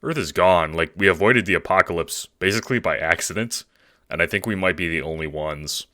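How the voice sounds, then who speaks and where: A man voicing a laid-back young dude in an audio drama, slangy and deadpan with hesitant pauses, masking dread. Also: deep voice, no echo, clean studio sound. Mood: anxious, casual.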